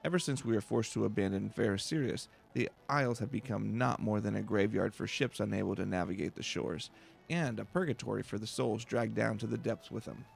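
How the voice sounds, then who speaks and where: A man's voice: on the low side, a little fast, gravelly. A man voicing a grim, blunt sailor in a video game, with salty, dramatic speech. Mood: grave, foreboding.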